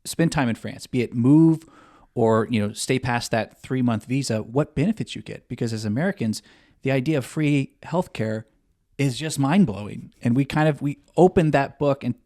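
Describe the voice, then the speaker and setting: A man's voice: mid-range voice, normal speed, dark tone, no room sound. Eager male podcast co-host, dry sound. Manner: eager, with many fillers and restarts. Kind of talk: podcast chat.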